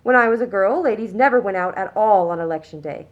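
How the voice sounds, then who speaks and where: A woman's voice: mid-range voice, fast, narrow, tinny audio, lightly acted. Woman acting a prim, smug society mother. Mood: prim, chiding. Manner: prim, condescending, self-satisfied. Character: smug, genteel upper-class anti-suffragist mother, middle-aged. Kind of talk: scripted radio play acting.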